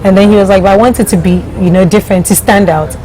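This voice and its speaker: A woman's voice: mid-range voice, fairly even intonation, fast talker, mellow, a little hiss or hum, poor audio. A woman from Ghana who grew up partly in Germany, talking casually as an interview guest in an anecdotal, conversational way. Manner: conversational, anecdotal, quoting others in her telling. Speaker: startup CEO guest.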